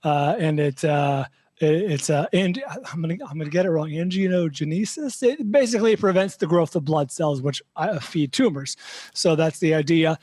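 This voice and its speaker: Hoarse, raspy man with a halting delivery. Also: fairly high pitch, a little mellow, dry recording. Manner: halting, many fillers and restarts. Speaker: show regular giving health update. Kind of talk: casual podcast chat.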